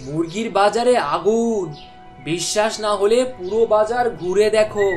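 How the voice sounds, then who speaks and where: A woman's voice: medium pitch, normal speed, darkish tone, lightly acted. A woman voicing a male village shopkeeper in a chatty, grumbling cartoon style. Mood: complaining. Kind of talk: cartoon dialogue voice acting. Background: background music.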